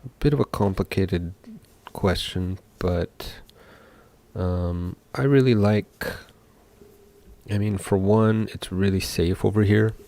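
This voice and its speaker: A young man from Seattle, a game developer talking casually while coding live, thinking aloud with many pauses. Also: lowish voice, dark tone. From Seattle, USA.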